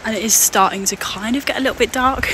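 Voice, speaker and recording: A woman vlogging outdoors on a hike, talking in a casual, reflective way. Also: on the high side. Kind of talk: casual reflective chat while hiking. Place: outdoors on a hiking trail. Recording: very noisy outdoor wind and water sound.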